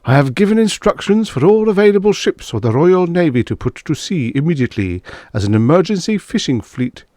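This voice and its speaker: A man's voice: fairly high pitch, big pitch swings, fairly quick, dark tone, no room sound, clean studio sound, pitched above the performer's own voice. Man acting a declamatory, grave British Prime Minister. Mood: grave, resolute. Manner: declamatory, oratorical. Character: British Prime Minister giving a grave, resolute broadcast address.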